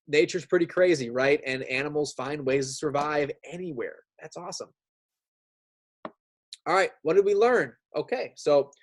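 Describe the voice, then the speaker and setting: A man teaching young students in an informal read-aloud style, chatty and often checking in with them. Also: a little high. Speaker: elementary school teacher. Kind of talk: read-aloud lesson with casual asides.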